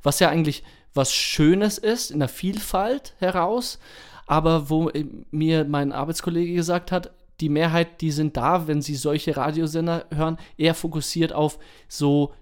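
A man's voice: fairly high pitch, steady tone, normal speed, smooth. A man co-hosting a podcast in casual, colloquial chat with slangy turns of phrase. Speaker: podcast co-host.

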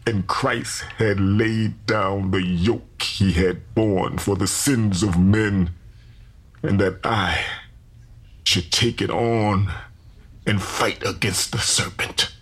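An actor playing a solemn, visionary enslaved preacher in his thirties, confessing deliberately in scriptural phrases with a gravelly, husky voice. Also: lowish voice, fairly even intonation, fairly bright tone, full character voice. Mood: fervent, prophetic. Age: 30s. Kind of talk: dramatized first-person confession.

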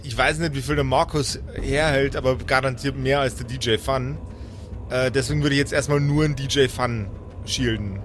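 A man's voice: mid-range voice, steady tone, normal speed. A man playing a tabletop role-playing game, chatting casually in German with English gamer slang mixed in. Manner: mixes English gaming slang into his German. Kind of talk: casual improvised game chat. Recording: faint music behind the voice. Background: music.

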